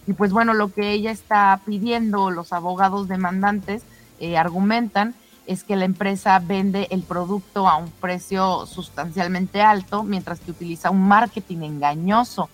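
A woman presenting the news in an informal, conversational way, somewhat halting and given to rhetorical questions. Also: medium pitch, fairly even intonation, soft background music, rough recording.

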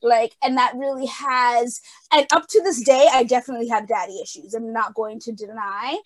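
Podcast guest: young woman, high voice, lively and slangy, frequent laughter. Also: moderate pace, rough recording. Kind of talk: candid personal storytelling.

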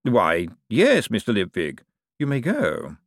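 A man reading aloud and voicing a cold, calculating ruler who speaks slowly with icy politeness. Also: on the low side, huge pitch swings, no room sound, clean studio sound, voice pushed up, heavily acted. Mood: coolly polite. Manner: slow, measured, icily polite. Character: cold, silkily menacing male ruler, patient tyrant.

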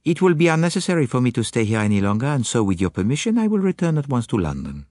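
A man's voice: mid-range voice, sing-song, fast talker, a little mellow, no room sound, studio quality, voice pushed up, lightly acted. A man voicing a courtly, confident Belgian detective with a French-speaking accent and formal, precise phrasing, in an audiobook story. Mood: confident, persuasive. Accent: French-speaking Belgian, put on.

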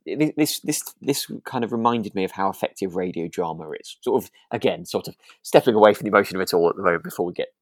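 A man's voice: low-pitched, animated, fast talker, hardly any pauses, no echo. Young male co-host, dry close recording, casual drama discussion, hesitant and self-deprecating delivery. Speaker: podcast co-host.

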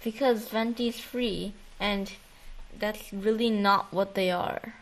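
A woman's voice: mid-range voice, fairly even intonation, slow, low-quality recording. A woman chatting casually about a video game as a co-host, in an informal, slangy, bantering way. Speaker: podcast co-host.

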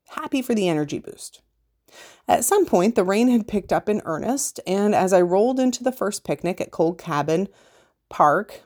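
A woman's voice: fairly low pitch, darkish tone. A woman reading a scripted first-person account aloud as a podcast host.

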